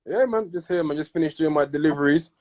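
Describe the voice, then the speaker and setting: A man's voice: on the high side, darkish tone. A man, originally from Congo, hosting a casual interview over a video call, often repeating words as he searches for what to say. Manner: halting, repeats words while searching for phrasing. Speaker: podcast host.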